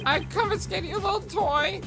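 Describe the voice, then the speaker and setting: A woman doing a high jumpy soldier boy. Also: normal speed. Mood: bossy. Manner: barking, dramatic. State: stuffy nose. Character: jumpy young male soldier, wild emotions. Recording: game music behind the voice.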